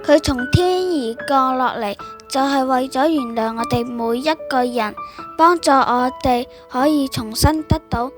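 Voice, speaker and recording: A dutiful, cheerful attendant to a queen, played by a woman on stage. The voice is very high and presentational, over faint music. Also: a little slow. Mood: earnest. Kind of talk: stage play acting.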